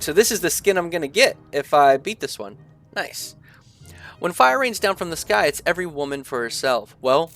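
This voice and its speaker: A young man with a stuffy nose chatting casually through a gameplay session, reading the game's text aloud and thinking out loud with lots of fillers. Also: fairly high pitch. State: stuffy from allergies. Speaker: gaming video creator. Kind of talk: casual let's-play commentary, reading game text aloud.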